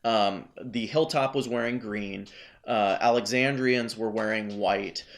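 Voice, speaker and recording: Dude hyped about a tv episode, rambling, lots of ums. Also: male voice, lowish voice, measured pace, gravelly. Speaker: co-host of a TV review show. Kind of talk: casual review chat.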